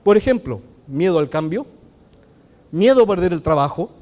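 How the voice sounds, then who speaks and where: A Chilean man with a Chilean Spanish accent giving a conference talk as a technology consultant, talking fast and checking in with the audience often. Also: high-pitched, expressive, a little mellow. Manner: fast-talking, engages the audience with frequent "¿ok?" tags. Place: large conference hall. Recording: long reverb of a large hall.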